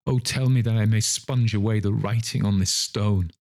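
A man voicing an excitable old miser, repentant and fervent, in theatrical exclamations from a classic storybook. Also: lowish voice, normal speed, no echo, studio quality, natural voice. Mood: desperate pleading. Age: older.

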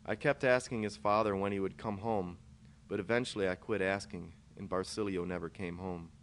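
Low-key man reading a story, noisy recording. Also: fairly even intonation, brisk. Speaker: author reading his own short story. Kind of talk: scripted first-person story narration.

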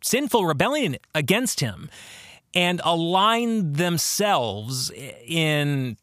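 A man hosting a podcast, explaining theology in a monologue with deliberate, emphatic phrasing. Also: a little high, big pitch swings, a bit bright, no echo, studio quality. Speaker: podcast host and author.

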